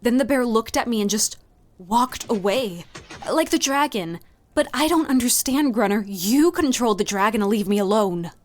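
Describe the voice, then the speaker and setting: A man's voice: sits high, lively intonation, moderate pace, pitched above the performer's own voice. A man voicing an earnest, guilt-ridden young female student in an audiobook, with a plaintive, insistent delivery. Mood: confused. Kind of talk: audiobook character dialogue.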